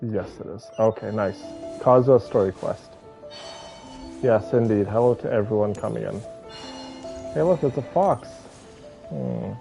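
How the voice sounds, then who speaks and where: A man's voice: fairly low pitch, measured pace, gravelly, pauses often, poor audio. A man chatting casually as he livestreams a game, laid-back and affectionate with his viewers. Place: bedroom, on a bed. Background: soft game music.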